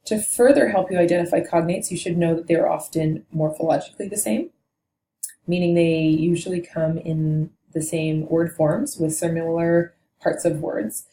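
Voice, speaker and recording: Low-voiced woman explaining language patterns. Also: steady tone, mellow. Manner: measured and explanatory, with occasional self-corrections. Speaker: language teacher. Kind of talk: explanatory lecture on linguistics. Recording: echoey room with faint noise.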